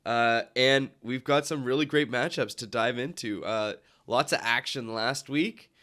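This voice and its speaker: A man's voice: mid-range voice, a little slow, bright, forceful tone. Congested man hosting a sports podcast. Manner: rambling, lots of fillers and restarts. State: congested from a cold. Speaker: podcast host. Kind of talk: casual sports podcast talk.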